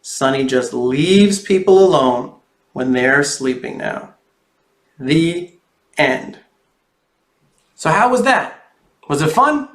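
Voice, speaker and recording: Higher-voiced man reading to kids, echoey room. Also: takes it slowly, pauses often. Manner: expressive, addresses young viewers directly. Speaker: children's author reading aloud. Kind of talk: animated storybook read-aloud and friendly address to children. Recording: roomy, echoey sound.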